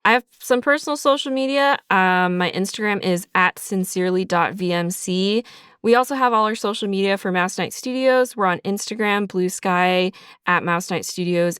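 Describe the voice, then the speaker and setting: A woman's voice: mid-range voice, fairly bright tone, clean tone, no room sound, studio quality. A woman speaking as an interview guest, explaining her creative writing work in a casual, conversational way. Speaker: audio drama writer and creator, interview guest.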